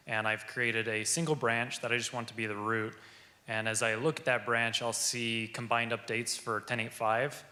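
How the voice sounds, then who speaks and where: A man in the audience explains a technical setup in a measured way. His voice is bright and forceful, and a long reverb from the hall trails behind it. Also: medium pitch. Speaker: audience member. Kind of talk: asking a technical question.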